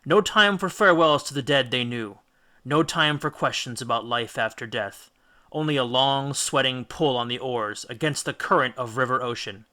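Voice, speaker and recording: A man's voice: medium pitch, normal speed, bright, forceful tone. A man reading a children's audiobook as a dramatic storyteller. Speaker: audiobook reader. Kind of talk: dramatic scripted storytelling narration.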